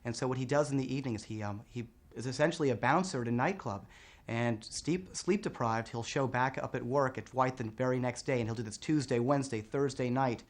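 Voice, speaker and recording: A man with an average pitch talks in a plain, matter-of-fact way during an interview. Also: brisk. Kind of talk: personal interview.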